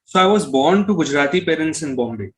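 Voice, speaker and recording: A man's voice: on the high side, animated, fast talker, a bit bright. A man from Kolkata with an Indian accent, answering interview questions casually, often circling back over his phrasing with "you know". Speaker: musician interview guest.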